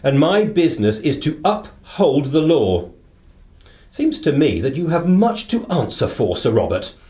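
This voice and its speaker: An echoing room surrounds a shrewd British detective. He reasons aloud with theatrical authority, rising and falling freely in pitch. The delivery is deliberate and commanding, as in a radio play. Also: very animated, warm tone. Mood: stern.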